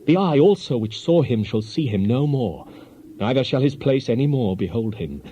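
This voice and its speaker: Casting: man to play stern ancient accuser for scripture drama; solemn, declamatory, soft-edged dark timbre. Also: mid-range voice, animated, moderate pace, lightly acted. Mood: indignant. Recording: dull lo-fi audio with faint noise.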